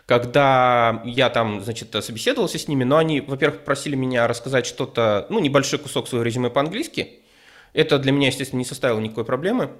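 A man from Ukraine, a guest telling his story in a relaxed chat, hesitant, with lots of fillers and careful wording. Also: medium pitch, fast. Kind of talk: casual conversational storytelling.

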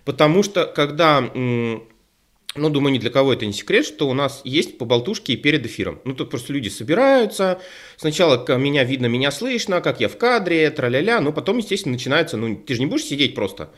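A man's voice: fairly high pitch, big pitch swings, quick pace. A man co-hosting an online show, chatting casually and rambling with many fillers and restarts over a call microphone. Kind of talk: casual panel discussion.

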